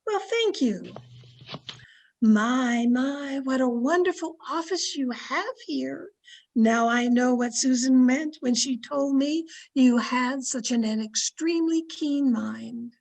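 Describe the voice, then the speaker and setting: A woman playing a sly, flattering old aunt in an old-time radio comedy, coy and sweetly confiding. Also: high voice, slow, vocal fry, exaggerated voice. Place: home, online video-call production.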